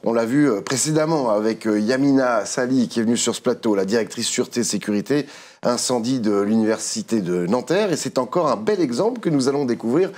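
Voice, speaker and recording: A man hosting a studio interview, speaking in a relaxed, hesitant way with frequent fillers. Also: medium pitch, fairly quick. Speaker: interviewer, show host.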